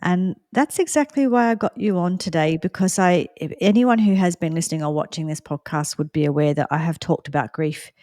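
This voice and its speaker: A woman's voice: fairly low pitch, a little fast, hardly any pauses, a little mellow, dry recording, studio quality. A woman, hosting a podcast in a chatty, friendly way and laughing often. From Australia. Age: 50s. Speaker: podcast host. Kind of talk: casual interview conversation.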